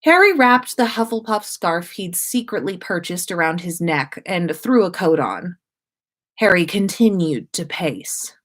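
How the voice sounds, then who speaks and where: A woman's voice: lowish voice, big pitch swings, unhurried. A woman host narrating a story expressively, as if reading aloud to listeners on a livestream.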